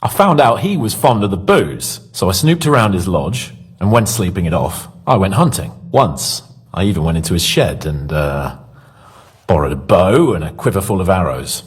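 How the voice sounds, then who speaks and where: An actor playing a cocky, excitable young nobleman in a video game, with a husky voice and a theatrical manner. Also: deep voice, moderate pace, heavily acted. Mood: cocky, boastful. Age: young adult. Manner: theatrical, animated.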